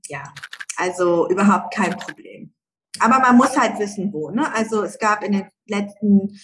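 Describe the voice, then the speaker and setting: A woman's voice: medium pitch, low-quality recording. A middle-aged woman, a guest entrepreneur, talking casually in an interview, with many fillers and easy laughs.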